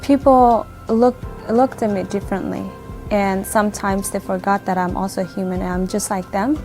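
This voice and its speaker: A woman's voice: mid-range voice, steady tone, moderate pace, mellow, soft background music. Plainspoken woman sharing her story. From Thailand. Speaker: community organizer. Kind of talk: personal testimonial.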